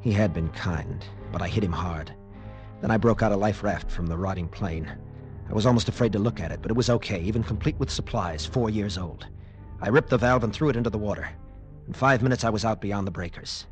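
An actor playing a shaken, bitter young military lieutenant who survived as a castaway, in old radio drama style. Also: male voice, lowish voice, a little fast, close to an everyday voice. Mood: haunted, intense. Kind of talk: 1950s radio drama acting. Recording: old radio broadcast, music underneath.

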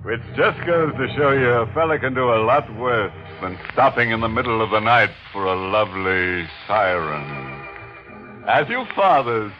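Male actor, ghoulish tongue-in-cheek host, 1940s radio, highly animated, unhurried, muffled recording. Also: close to an everyday voice. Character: ghoulish, wry male horror host cracking morbid jokes. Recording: muffled old radio recording, music behind.

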